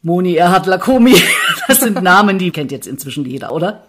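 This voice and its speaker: A woman's voice: on the low side, big pitch swings, fairly quick. A woman hosting a casual interview, informal and familiar, with questions and short reactions. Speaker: podcast host, interviewer. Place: indoors in the guest's home.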